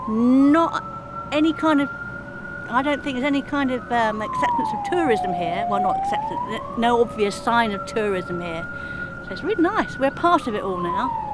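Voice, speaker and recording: Chatty higher-voiced woman vlogging amid clear noise. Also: huge pitch swings, moderate pace. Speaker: sailing vlog host. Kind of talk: casual vlog commentary to camera. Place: outdoors on a sailboat. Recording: noisy outdoor boat recording, engine rumble.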